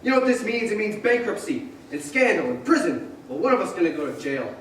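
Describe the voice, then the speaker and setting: A man's voice: high voice, sing-song, brisk, slight background noise. A man playing an earnest, hot-tempered family man given to frantic outbursts in a radio stage play. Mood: frantic, furious. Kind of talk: old-time radio play acting on stage. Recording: distant echoey stage recording with music.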